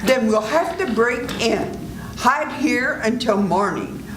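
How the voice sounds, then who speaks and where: An older woman playing a scheming doctor who stays calm and smoothly persuasive in an old-time radio drama performed live. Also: a little high, slow pace. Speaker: senior theater performer. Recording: roomy hall with audience noise.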